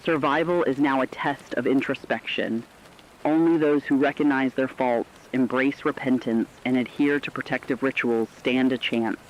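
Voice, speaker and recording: A man playing a solemn radio reporter, reading scripted horror bulletins in a measured, foreboding way. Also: mid-range voice, steady tone, slight background noise, natural voice. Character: solemn radio field reporter warning of terror. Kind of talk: scripted dramatic radio news narration. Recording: dull lo-fi radio sound, rain behind.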